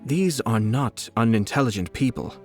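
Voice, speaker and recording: An actor playing a polite, shrewd nobleman in a video game, speaking in a measured, formal, courteous way. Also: medium pitch, animated, faint music behind, natural voice. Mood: quietly shrewd. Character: composed, polite young nobleman commissioner, shrewd and whimsical.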